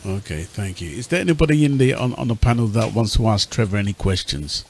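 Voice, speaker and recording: A man hosting a panel discussion, phrasing his questions in a measured way with pauses. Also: on the low side, lively intonation. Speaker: talk show host. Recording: faint background noise and ambience.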